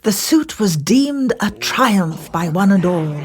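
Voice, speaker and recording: A woman reading a children's fairy tale as scripted narration, with deliberate, theatrical phrasing. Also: mid-range voice, expressive. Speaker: storyteller.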